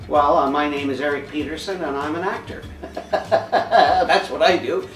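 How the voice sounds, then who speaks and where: A man's voice: fairly high pitch, a little slow, echoey room, far from the mic, soft background music, poor audio. A man in his sixties from Saskatchewan, a veteran actor chatting as a guest in an interview, joking about himself and laughing often. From Saskatchewan, Canada. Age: 60s. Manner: self-mocking, laughs often. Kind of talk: casual interview chat.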